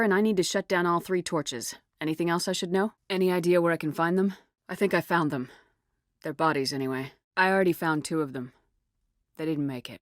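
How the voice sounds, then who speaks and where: Woman acting a low-voiced, tough female space commander. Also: no echo, clean studio sound, natural voice. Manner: terse, commanding, controlled. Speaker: video game lead character. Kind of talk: video game dialogue acting.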